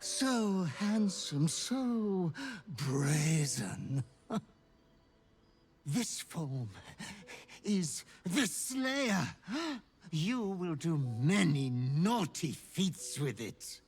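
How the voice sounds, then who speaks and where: An actor voicing a fawning, gleefully sinister fiend butler in a video game, with drawn-out, gushing, theatrical delivery. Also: male voice, high voice, sing-song, darkish tone, faint music behind, exaggerated voice. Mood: fawning delight. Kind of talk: video game character acting.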